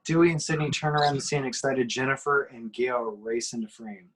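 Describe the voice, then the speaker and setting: A man's voice: mid-range voice, steady tone, fairly quick, fairly bright tone, slightly rough, poor audio. A man reading screenplay action lines dramatically at a table read, punching out the sudden action words. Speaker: reader of the action description.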